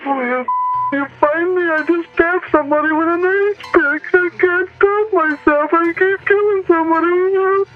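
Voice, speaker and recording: A man's voice: very high-pitched, fairly even intonation, normal speed, faint music behind. A distraught man with a weepy, whining voice, crying as he makes an emergency call. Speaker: anonymous caller to 911. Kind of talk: emergency phone call confession. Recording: tinny, noisy archival phone line.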